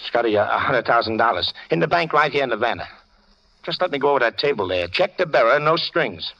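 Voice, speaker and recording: A man's voice: mid-range voice, expressive, quick pace, vocal fry, pushed and bright, no room sound. An actor playing a menacing, mocking gangster killer in a 1940s radio crime drama, with slangy tough-guy talk. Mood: anxious bargaining. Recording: dull vintage radio recording.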